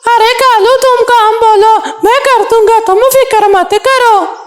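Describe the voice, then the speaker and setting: A woman's voice: extremely high pitch, steady tone, unhurried. A woman voicing a homeless male cartoon parrot, polite, earnest and plaintive in a children's story. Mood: pleading. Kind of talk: Hindi children's cartoon dialogue.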